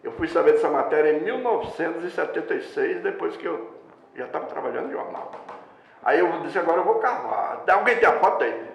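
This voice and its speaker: A man's voice: on the high side, brisk, vocal fry, reverberant, low-quality recording. Older man spinning stories. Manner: lively anecdotal storyteller, acts out quoted voices. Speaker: veteran newspaper reporter, interviewee. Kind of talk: storytelling in a casual interview.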